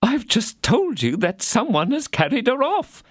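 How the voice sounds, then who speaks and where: A man voicing a frightened, fawning tradesman who pleads in a shrill, flustered voice. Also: sing-song, a little slow, no room sound, clean studio sound, exaggerated voice. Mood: pleading. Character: frightened fawning middle-aged male mercer.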